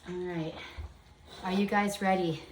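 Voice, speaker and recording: A woman's voice: on the low side, fairly even intonation, a little slow, roomy sound, a little hiss or hum, low-quality recording. A woman in her fifties giving a chatty, step-by-step home cooking demonstration in her kitchen. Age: 50s.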